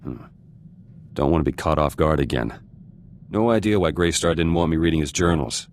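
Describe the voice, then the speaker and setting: A man's voice: rumbling low, normal speed, a little hiss or hum. A man playing an embittered, vengeful resistance fighter in a video game, speaking in terse, clipped fragments with dropped words. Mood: terse, guarded. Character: embittered male resistance fighter turned politician, hard and vengeful. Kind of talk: video game dramatic voice acting.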